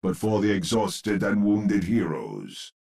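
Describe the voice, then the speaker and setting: Grim horror-game narrator, low-pitched, husky, slow, theatrical, grandiose. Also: male voice, sing-song, darkish tone, exaggerated voice. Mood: grave, suspenseful. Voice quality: gravelly, husky. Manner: grandiose, suspenseful, emphatic delivery. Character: grim, dramatic male teller of gothic horror tales. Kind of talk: theatrical gothic horror storytelling.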